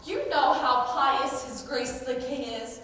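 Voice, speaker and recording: In a cavernous, echoing hall, a sly fake nun acted by a young woman preaches with mock piety. The voice is very high and bright, and every line is slow and deliberate, in stage-comedy style. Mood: smugly threatening. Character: sly, sanctimonious woman posing as a pious nun. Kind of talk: amateur stage comedy acting. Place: high school play on a stage. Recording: echoey hall from far off, faint noise.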